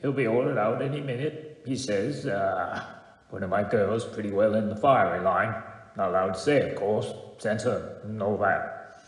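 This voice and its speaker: A man's voice: on the low side, moderate pace, lightly acted. An actor playing a middle-aged, pompous father who boasts with clipped pride of his children at war, in an audio drama. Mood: proud, boastful. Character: proud, pompous patriotic British parent boasting of children at war. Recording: echoey hall with long reverb.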